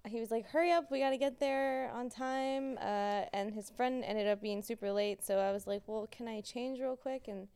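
A woman's voice: a little high, steady tone, moderate pace, few pauses, a little mellow, clean tone. A woman guest on a podcast telling a story in a giggly, chatty way with quoted asides. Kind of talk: casual podcast chat.

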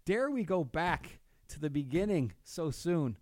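A man's voice: on the high side, very animated, measured pace, a little mellow, no echo. A man hosting a podcast from a studio, brash and joking, swearing freely as he reads out plugs and chats with guests. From New Jersey. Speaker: podcast host. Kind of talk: casual podcast chat with read-out plugs.

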